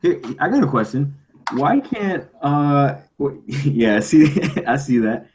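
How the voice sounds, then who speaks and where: A man's voice: a little high, sing-song, slow, a little mellow, slight background noise. A man chatting casually as a panelist, speaking emphatically and repeating himself to drive a point home. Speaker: podcast panelist.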